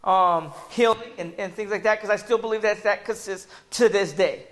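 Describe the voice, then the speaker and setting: A man in his forties gives an earnest talk to a church group, loud and halting, with plenty of ums. His voice sits notably high for a man. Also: rough recording. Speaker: ministry public relations speaker. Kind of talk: testimony sermon.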